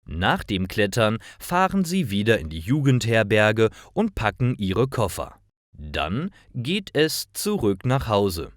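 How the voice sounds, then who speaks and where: Slow, low-voiced male storyteller. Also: huge pitch swings, dry recording, studio quality. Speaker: narrator of stories for German learners. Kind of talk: scripted storytelling narration, read slowly for learners.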